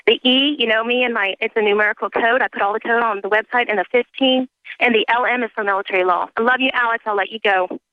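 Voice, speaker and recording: A woman calling in to a radio show, talking in a hurried, earnest way and jumping between points with many "um"s. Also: a little high, steady tone, dry recording. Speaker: caller. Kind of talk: call-in phone talk. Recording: tinny phone line.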